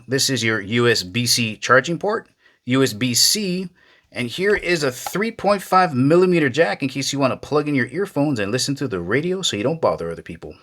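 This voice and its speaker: A man with a bright, forward voice presents a product review. His pitch moves up and down a lot as he goes. He keeps the wording casual and folksy, speaking straight to the viewer. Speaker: product reviewer, video host. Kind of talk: casual hands-on gadget review narration.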